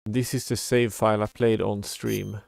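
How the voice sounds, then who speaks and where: A man's voice: lowish voice, little pitch movement, moderate pace, gravelly, a little mellow, a little hiss or hum. A man giving casual live game commentary as a streamer, muttering in a mildly exasperated way. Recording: game music behind the voice. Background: video game music.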